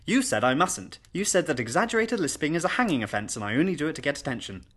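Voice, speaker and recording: Guy doing a cute little girl for a storybook, higher voice, earnest and matter of fact. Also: big pitch swings, fast, a bit bright, slightly rough, heavily acted. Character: small earnest girl in a nightdress, coyly cute.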